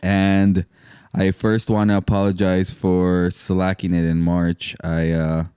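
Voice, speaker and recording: A man hosts a podcast in a low, mellow voice with a clean, smooth tone. The sound is close and dry, and he talks in casual, slangy chat. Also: flat delivery, slow pace, no room sound. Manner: informal, slangy. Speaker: podcast host.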